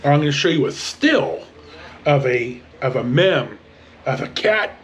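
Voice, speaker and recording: An American man telling casual personal stories to camera as a vlog host, in an animated, halting, rambling way. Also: on the high side, slight background noise. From northern California, United States.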